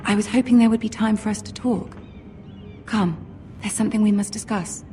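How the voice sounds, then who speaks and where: An actress playing a poised, kindly young noblewoman in a fantasy video game, with an English accent and a courtly, measured manner. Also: mid-range voice, mellow, lightly acted. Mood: gentle sympathy. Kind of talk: dramatic video game acting. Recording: music behind the voice.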